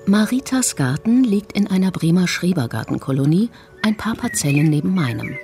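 Calm radio feature lady, kinda low and mellow, light music behind. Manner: calm, measured first-person reportage. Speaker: feature author and narrator. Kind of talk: scripted documentary narration.

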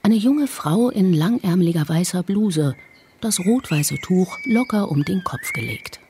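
A woman narrating a radio documentary in a calm, measured first-person voice. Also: fairly low pitch, background noise. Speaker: feature author and narrator.

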